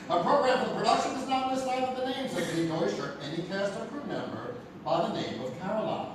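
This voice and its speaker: Male ghost-story narrator: theatrical, high, widely swinging pitch, heavy stage echo. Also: lively intonation, brisk. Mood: suspenseful. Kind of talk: dramatic scripted narration, live radio theatre. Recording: echoey, noisy live theatre from far off.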